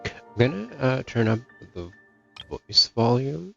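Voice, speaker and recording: A man's voice: medium pitch, sing-song, measured pace, gravelly, sharp tone. A young man chatting casually as he streams a video game. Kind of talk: casual gaming livestream commentary. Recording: dull mic, game music behind. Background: faint game music.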